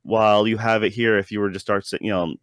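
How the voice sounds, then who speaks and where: Low-voiced man in casual, rambling review. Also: level tone, fast talker, dry recording, studio quality. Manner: hesitant, rambling delivery with many self-interruptions. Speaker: podcast co-host reviewing a show.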